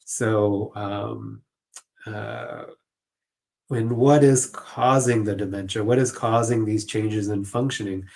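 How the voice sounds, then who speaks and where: A man's voice: lowish voice, steady tone, takes it slowly, vocal fry, darkish tone. A man speaking as an expert panel guest, explaining informally and choosing his words with some hesitation. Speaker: clinical social worker, panel guest.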